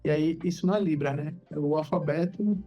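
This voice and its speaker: A man's voice: on the high side, faint music behind. Hesitant male podcast host, dull audio. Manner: slow, hesitant, with many fillers. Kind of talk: casual interview conversation.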